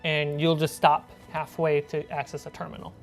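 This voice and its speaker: A woman with a low-pitched voice acts as game master. She narrates scenes and puts questions to her players in a tabletop horror game. Faint music plays behind her. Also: little pitch movement, moderate pace, gravelly. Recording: music bed under the voice. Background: background music.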